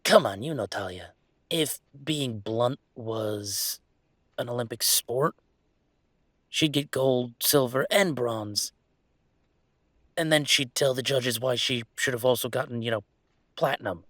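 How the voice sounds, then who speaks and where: A man playing a young werewolf boyfriend in an intimate audio roleplay, talking in a halting, rambling, conversational way with a gravelly creak. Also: mid-range voice, fairly bright tone, no room sound, studio quality. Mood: pensive, wry. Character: young male werewolf boyfriend, hesitant, affectionate, weighing a move.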